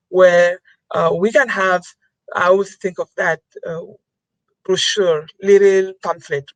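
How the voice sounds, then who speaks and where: A woman's voice: lowish voice, dry recording, low-quality recording. A woman giving an earnest webinar talk, repeating key phrases for emphasis over a computer mic. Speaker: webinar presenter.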